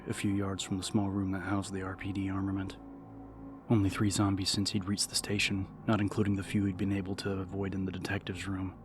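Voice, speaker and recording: A man reading a novel as an audiobook narrator, in a measured, serious, dramatic style. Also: deep voice. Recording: narration over background music.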